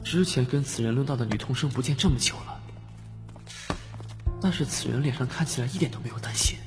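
A man voicing a wary, courteous young Taoist swordsman with formal, measured phrasing in an audiobook. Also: mid-range voice, pitched above the performer's own voice, lightly acted. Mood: pondering. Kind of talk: audiobook character acting. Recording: long hall reverb, music behind.

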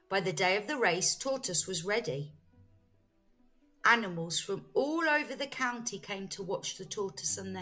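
Woman reading a children's story, unhurried. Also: mid-range voice. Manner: expressive, deliberate storytelling. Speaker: storyteller, teacher. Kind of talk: children's bedtime story read-aloud. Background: faint music.

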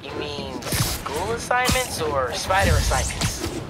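A man's voice: fairly high pitch, very animated, slow, loud music behind the voice. A young male actor with an American accent playing an eager, inquisitive teenage superhero apprentice in a video game. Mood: casual, questioning. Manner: casual, youthful, inquisitive.

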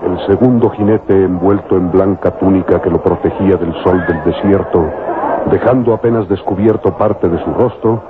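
A man's voice: low voice, steady tone, moderate pace, heavy background noise. A man narrating an old radio serial in a solemn, theatrical, scripted style. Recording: muffled vintage radio recording. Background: loud dramatic music.

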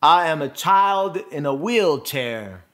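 A man delivers a spoken word monologue in a bright, high voice. His phrasing is deliberate and emphatic, with dramatic pauses, and his pitch moves widely from line to line. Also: expressive.

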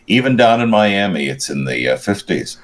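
An older man giving news commentary over a video call, halting and searching for words while he has a cold. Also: lowish voice, very animated. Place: home room, video call.

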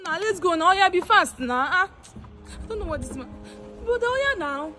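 A woman's voice: extremely high pitch, very animated, moderate pace, sharp tone, full character voice. A young woman with a Nigerian accent playing an anxious, scolding sister, urgent and exclamatory. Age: young adult. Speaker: actress in an online skit. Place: outdoors in an open car yard. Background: music, vehicles.

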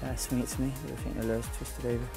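A man guiding a beginner through fishing by a lake, giving quick, repeated instructions. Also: mid-range voice, little pitch movement, creaky, over music. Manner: urgent, repetitive instructions. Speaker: fishing guide. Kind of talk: on-the-spot coaching. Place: outdoors by a lake.

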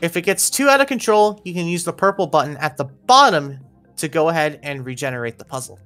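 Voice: mid-range voice, sing-song, brisk, a bit bright. Game walkthrough narrator with music behind. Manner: instructional, step-by-step. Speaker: video game guide narrator. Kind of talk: scripted game walkthrough commentary. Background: background music.

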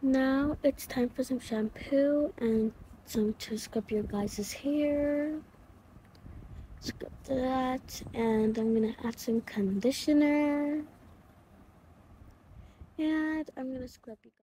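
A woman's voice: high voice, takes it slowly, pauses often, warm tone, slight background noise, low-quality recording. A woman casually narrating a role-play routine video in an informal, hesitant, chatty way.

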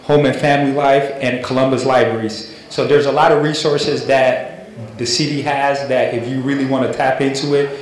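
A man in his thirties from Ghana speaks in a measured, even tone into a handheld mic as he lists community resources. His pitch stays flat, and a very echoey hall surrounds his voice. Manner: steady, measured, listing resources. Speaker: city community liaison. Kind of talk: public address at a community meeting. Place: large indoor event hall.

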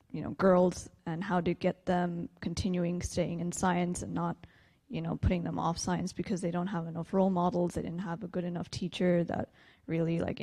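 Panel physicist, woman, lowish gravelly voice, thoughtful answers. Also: a little mellow. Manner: thoughtful, filler-heavy. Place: lecture hall.

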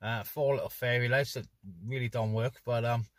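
A man's voice: mid-range voice, steady tone, normal speed, dry recording. A man chatting casually as he runs a CB radio net from inside a car. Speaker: CB radio operator hosting a net.

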